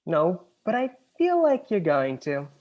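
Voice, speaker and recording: A woman's voice: on the low side, sing-song, measured pace, dull audio. A woman acting a blunt, sarcastic, exasperated millennial best friend in a rom-com script read, with dry delivery. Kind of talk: screenplay table read, rom-com dialogue.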